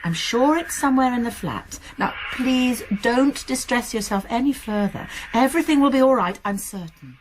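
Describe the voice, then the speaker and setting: An actress playing a calm, kindly college nurse with a reassuring, gently probing manner in a radio drama. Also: female voice, on the high side, normal speed, hardly any pauses, slight background noise, natural voice, rough recording. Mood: placating.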